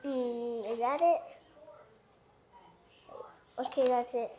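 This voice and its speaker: A woman's voice: a little high, steady tone, slow, mellow, slight background noise. A woman hosting a casual, interactive story time for children, chatting hesitantly as she reacts to the book. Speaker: children's story read-aloud host.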